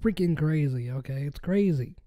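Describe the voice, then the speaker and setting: A man hosting his own podcast, hoarse and a little raspy after being sick, rambling casually with lots of fillers. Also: fairly high pitch, expressive, moderate pace, dull audio. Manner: rambling with many fillers and restarts. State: hoarse from a recent illness. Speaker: podcast host. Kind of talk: casual solo podcast talk.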